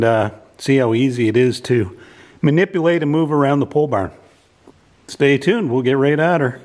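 A middle-aged man talking casually in a large pole barn workshop, relaxed and folksy with many filler sounds, picked up by a camera on a tripod. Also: mid-range voice. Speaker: channel host reviewing a product. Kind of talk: casual how-to vlog narration.

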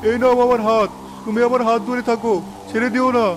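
Cartoon village fisherman dad, high voice, protective and emphatic, faint rain behind him. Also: flat delivery, a little fast, noisy background. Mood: desperate. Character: devoted village fisherman father, determined and protective. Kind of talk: dramatic Bengali animated story acting. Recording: faint rain and storm ambience.

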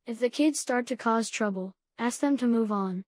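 Higher-pitched woman reading test sentences. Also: normal speed, darkish tone, no room sound. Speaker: test prompt reader. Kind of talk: scripted example sentences read aloud.

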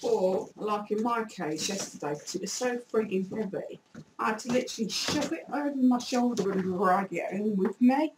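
Woman, 40s, croaky voice, animated rambling vlog chat in a small room, webcam a bit distant. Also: medium pitch, normal speed, background noise. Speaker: YouTuber. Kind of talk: casual shopping haul vlog.

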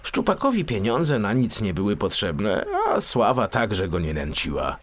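A man narrating a scripted children's fairy tale audiobook in an expressive, theatrical storytelling manner. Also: lowish voice, a little slow.

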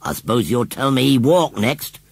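Man acting a sarcastic, scolding storybook farmer, animated. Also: medium pitch, normal speed, creaky, slightly rough, no echo, exaggerated voice. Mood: scornful. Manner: sarcastic, scolding, rustic phrasing. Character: gruff, angry, sarcastic male farmer.

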